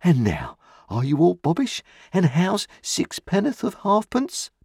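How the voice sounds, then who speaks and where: A man's voice: high-pitched, wildly swooping pitch, unhurried, creaky, darkish tone, breathy edge, dry recording, pitched above the performer's own voice, heavily acted. A pompous, sermonizing older uncle with a strained, raised voice that lingers over each word, as a man reading the story puts him on. He holds forth with smug certainty. The delivery is dry and close, with no room around it.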